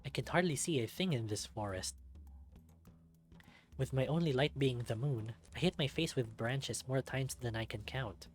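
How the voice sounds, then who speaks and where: A man reading a visual novel aloud as narrator, in an expressive, dramatic storybook style. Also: mid-range voice, moderate pace.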